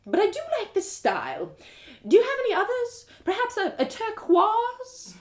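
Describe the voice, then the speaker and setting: A woman's voice: very high-pitched, huge pitch swings, normal speed, pitched above the performer's own voice, full character voice. A woman voicing a fussy, pompous older male customer in a storybook, with affected, exclamatory delivery. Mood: fussy, particular.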